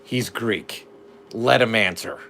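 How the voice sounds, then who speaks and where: A man's voice: on the low side, slow pace, gravelly. A man co-hosting a live stream, chatting casually, joking and reading the chat, sometimes dropping to a whisper. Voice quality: animated, jokey, drops to a whisper at times. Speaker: livestream co-host. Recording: faint background noise.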